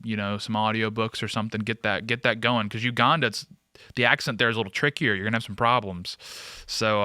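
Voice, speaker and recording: Bright-voiced man, sarcastic political commentary. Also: lowish voice, clear, resonant tone, no room sound, clean studio sound. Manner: sarcastic, mocking. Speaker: guest host of a political commentary show. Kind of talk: casual off-the-cuff political commentary.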